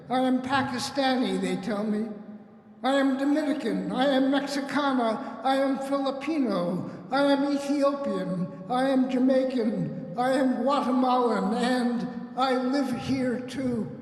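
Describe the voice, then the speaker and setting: A man reading and introducing poems live at a festival, speaking in a deliberate, declamatory way. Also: very high voice. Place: large reverberant room, live event at a microphone. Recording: echoey hall with long reverb.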